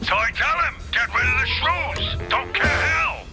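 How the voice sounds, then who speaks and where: A man's voice: extremely high pitch, unhurried, pushed and bright, faint music behind, heavily acted. A man playing a ruthless, boastful, scheming politician villain in a 1930s noir cartoon game. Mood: ranting. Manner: boastful, brash. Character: scheming, ruthless, fast-mouthed corrupt politician mouse villain.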